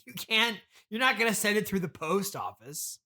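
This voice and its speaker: Guy doing a spoiled rich teen son, high pitched and bright, bratty, pushy, comedy podcast bit. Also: expressive, moderate pace, heavily acted. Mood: insistent. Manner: insistent, bratty, interrupting. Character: spoiled, demanding fifteen-year-old son of a billionaire. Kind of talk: improvised comedy podcast interview.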